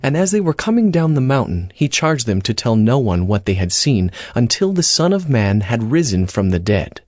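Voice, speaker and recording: A man's voice: mid-range voice, very animated, normal speed, a little mellow, dry recording, studio quality. A man reading scripture as the narrator of a dramatized audio Bible. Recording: music bed under the voice. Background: background music.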